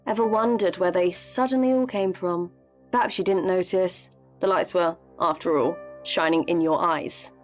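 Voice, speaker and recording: A woman's voice: fairly low pitch, normal speed, faint music behind, lightly acted. A woman acting in a radio play as a shrewd political operative from a rival party, knowing and pointed, leading with rhetorical questions. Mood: insinuating.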